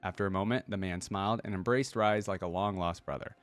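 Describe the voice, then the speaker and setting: A man's voice: low voice, a little fast, smooth, dry recording, faint music behind. A man reading a fantasy story aloud expressively and adding casual remarks as a reaction host.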